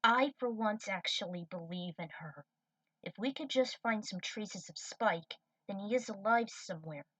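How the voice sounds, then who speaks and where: A woman's voice: mid-range voice, animated, a little slow, a bit bright, no room sound, distant mic, rough recording. A woman voicing a determined, loyal young female gambler in a fan fiction story reading.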